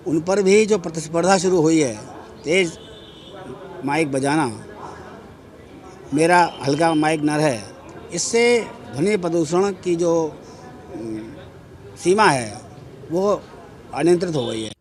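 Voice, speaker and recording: Man speaking formally with pauses. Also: a little high, animated. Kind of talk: interview sound bite.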